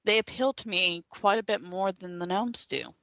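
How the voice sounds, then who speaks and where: A woman's voice: medium pitch, moderate pace, pushed and bright, dry recording. Playful woman chatting, dry close sound. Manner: playful, informal. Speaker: podcast panelist. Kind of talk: casual chat.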